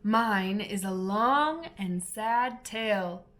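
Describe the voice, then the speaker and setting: Woman as a touchy, bright-voiced storybook mouse. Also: medium pitch, animated, very slow. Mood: mournful, earnest. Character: sad, easily offended storybook mouse telling its tale.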